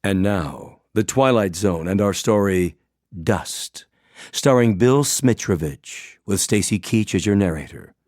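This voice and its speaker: A man's voice: fairly low pitch, lively intonation, a little slow, darkish tone, dry recording. A man announcing a radio drama in a scripted introduction.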